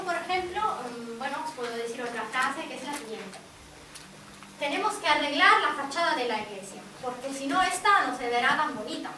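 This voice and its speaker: A woman's voice: sits high, bright, forceful tone, slight background noise. A woman with an Italian accent, a native Italian speaker, explaining ideas directly to a workshop group. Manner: explanatory, addresses audience directly. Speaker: workshop presenter. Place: indoor workshop room. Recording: echoey room, distant mic.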